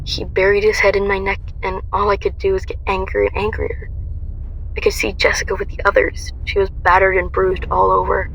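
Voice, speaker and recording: Female narrator actor, radio drama: young heroine, intense, sharp creaky voice, narrow intonation, faint ambient noise. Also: mid-range voice, monotone, a little fast. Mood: tense intense. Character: young woman heroine recounting her ordeal, intense. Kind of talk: scripted first-person dramatic narration.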